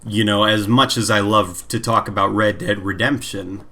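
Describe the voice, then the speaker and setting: A man's voice: fairly low pitch, fairly even intonation, normal speed, bright, forceful tone. A man co-hosting a podcast in casual gaming chat, speaking informally and giving emphatic opinions. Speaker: podcast co-host.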